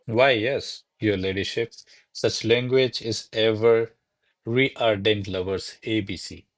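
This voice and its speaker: A man's voice: on the low side, fairly even intonation, slow pace, no room sound. A man playing a courtly, ardent servant in a classical radio play, speaking in a formal, florid, deferential manner. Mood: courtly wooing. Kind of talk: stage-style radio play acting of classical verse.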